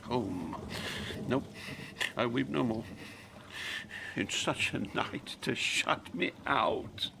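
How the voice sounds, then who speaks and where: A man's voice: mid-range voice, slow pace, gravelly, pauses often, noisy background. An actor playing a grief-stricken old king going mad, in theatrical, declamatory Shakespearean audio drama style. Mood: anguished rage. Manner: theatrical, declamatory, swinging from muttering to roaring.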